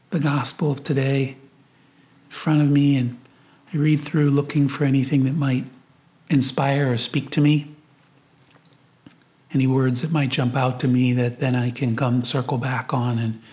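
A man with a mid-pitched voice leads a guided meditation as a priest would. He speaks in a reflective, prayerful way, taking his time through prayer and scripture. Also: little pitch movement, a little slow, pauses often, darkish tone.

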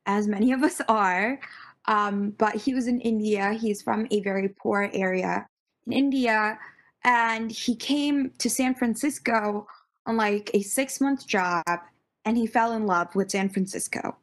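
A woman's voice: medium pitch, a little slow. A young American woman, a podcast guest, chatting casually with bubbly enthusiasm and frequent laughter. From United States (born Virginia, raised Connecticut).

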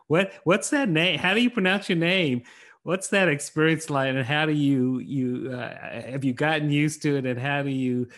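A man interviewing a student on a podcast, gently probing with layered follow-up questions in a relaxed conversational way. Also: on the high side, measured pace.

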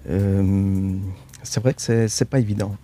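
A man speaks as a radio guest, slightly low and warm in tone. He hesitates, restarts and repeats words, letting phrases trail off softly. Quiet music sits faintly underneath. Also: fairly even intonation, measured pace. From Switzerland. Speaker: actor, studio guest. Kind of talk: radio interview.

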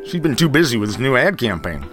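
A man's voice: medium pitch, big pitch swings, fast, a bit bright, faint music behind. An actor playing a snobbish, condescending businessman with a haughty manner, in a campy audio drama. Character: snobbish, condescending male executive.

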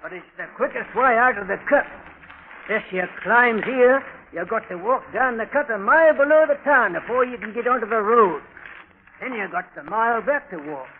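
High-voiced chatty British railway workman. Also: expressive, moderate pace. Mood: knowing, chatty. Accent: British working-class. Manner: folksy, talkative, deferential. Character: chatty working-class railway track walker, deferential and blunt. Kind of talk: 1930s radio drama acting. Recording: scratchy, tinny, noisy 1930s radio recording.

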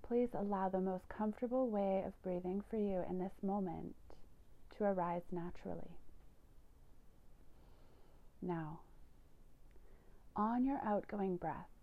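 A woman yoga nidra teacher gently guiding a meditation in a soothing, unhurried manner, with phrases that trail into breathiness. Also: mid-range voice, narrow, tinny audio. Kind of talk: guided meditation narration.

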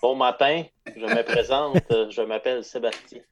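A man's voice: medium pitch, measured pace. A man, a band's drummer, introducing himself in a wry, mock-formal way. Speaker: drummer in a band.

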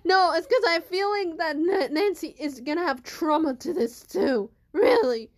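A woman voicing a frantic girl who pleads near tears for her friend's safety, talking with a shrill, upset manner. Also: lively intonation, normal speed.

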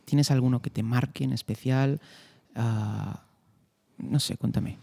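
A man from hosts a relaxed podcast interview. His voice is gravelly and dark. He asks his questions in an easygoing, unhurried way. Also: mid-range voice. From Spain. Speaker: podcast host. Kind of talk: casual interview chat.

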